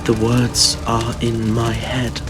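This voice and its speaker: An actor playing a terrified narrator in a gothic horror audio drama, speaking haltingly and stammering with dread. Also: male voice, mid-range voice, completely flat delivery, over music, natural voice. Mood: hushed dread. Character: terrified male narrator summoned to an ancient family rite.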